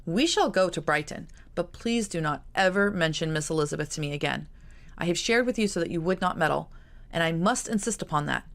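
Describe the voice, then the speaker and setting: A woman voicing a proud, ashamed gentleman in a grave, reserved tone, with a low, gravelly voice. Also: expressive, fairly quick. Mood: stern.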